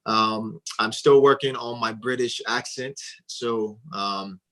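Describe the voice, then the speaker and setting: Guy from liberia in his 30s on a radio interview, earnest, steady, some jokes. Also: mid-range voice, low-quality recording. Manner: earnest, measured, with light humor. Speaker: interview guest.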